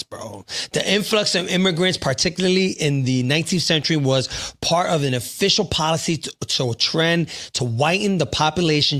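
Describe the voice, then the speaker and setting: A man's voice: on the high side, fairly bright tone. An American man hosting a podcast, chatting casually and reading articles aloud with some stumbles. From United States. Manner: casual, says "bro" often, reads haltingly. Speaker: podcast host.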